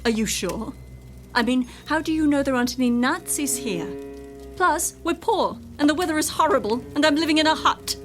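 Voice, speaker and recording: Radio drama: self-absorbed, biting wife, female actor, fairly high voice over prominent music. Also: moderate pace, close to an everyday voice. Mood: scornful. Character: discontented wife, sarcastic, self-absorbed, craving comfort.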